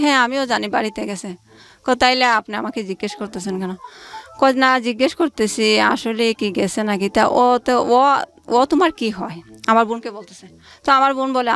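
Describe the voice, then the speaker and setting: Young woman, fairly high voice, informal personal storytelling, recounts reported dialogue. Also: a bit bright. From Bangladesh. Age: late 20s. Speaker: interview guest telling her life story. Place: radio studio.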